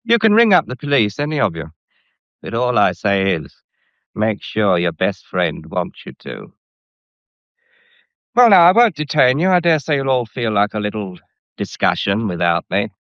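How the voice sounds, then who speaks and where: A smug man in a radio drama, low-voiced and swooping as he taunts his listeners. He draws his words out with theatrical mockery, in a dry, slightly dull recording. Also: wildly swooping pitch, fairly bright tone, no echo. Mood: smug, commanding. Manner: theatrical, drawn-out, mocking. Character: smug, taunting man relishing his hold over others.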